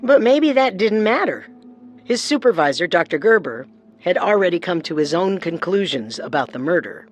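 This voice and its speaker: A woman co-hosting a podcast, giving scripted true-crime narration with deliberate, dramatic storytelling. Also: lowish voice, very animated.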